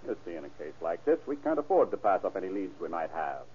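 Deep voiced old radio police chief, practical n steady, lively delivery, dull lo-fi sound. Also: male voice, fast talker, slight background noise. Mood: placating. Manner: plain-spoken, practical. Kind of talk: old-time radio crime drama acting.

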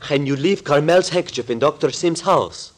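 A man's voice: a little high, normal speed, lightly acted. Man acting an indignant, foreign-sounding young man. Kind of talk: 1940s radio acting. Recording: old scratchy 1940s radio recording.

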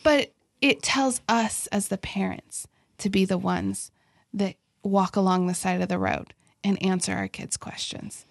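A young woman co-hosting a family faith podcast in casual chat, earnest and quick to laugh. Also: medium pitch, steady tone, vocal fry, no echo, clean studio sound. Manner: warm, laughs often, earnest about faith. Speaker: podcast co-host.

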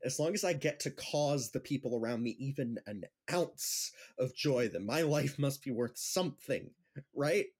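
A man's voice: medium pitch, animated, moderate pace, darkish tone, slightly rough. A man playing a kindly, insecure gym teacher and amateur comedian, speaking hesitantly and earnestly in an audio drama. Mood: hurt, earnest. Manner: hesitant, earnest, self-deprecating. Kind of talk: scripted audio drama acting.

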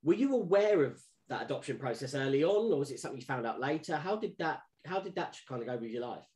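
A man's voice: a little high, expressive, fast, a little mellow. A man hosting a podcast, asking long, rambling questions with restarts. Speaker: podcast host. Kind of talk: podcast interview.

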